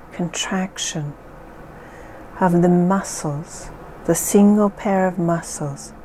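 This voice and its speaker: A woman leading a guided hypnosis session in a slow, soothing, measured way with long pauses. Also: fairly low pitch, dark tone, slight background noise, low-quality recording.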